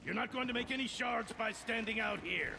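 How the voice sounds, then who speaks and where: An actor voicing a scornful official in a fantasy video game, calling out announcements with open contempt, at a distance. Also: male voice, very high-pitched, fairly quick, vocal fry, background noise, exaggerated voice. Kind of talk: video game dialogue acting.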